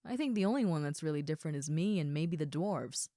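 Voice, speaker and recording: A woman playing a big, friendly ogre roommate in an audio roleplay, chatting casually and gently to the listener. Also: sits low, lively intonation, quick pace, no echo, studio quality. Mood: easygoing, chatty. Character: huge muscular red female ogre roommate, friendly and easygoing.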